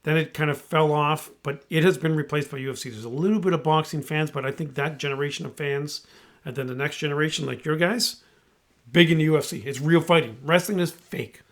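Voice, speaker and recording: Man, podcast co-host, slightly higher voice, teasing, opinionated sports talk. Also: a little fast. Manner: teasing, opinionated, asks leading questions. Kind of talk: casual sports chat.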